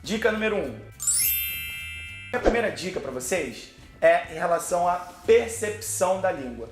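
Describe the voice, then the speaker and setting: A man's voice: high voice, very animated, normal speed, roomy sound, faint music behind. A Brazilian man with a Brazilian Portuguese accent, teaching English pronunciation in a video lesson, posing questions and then answering them.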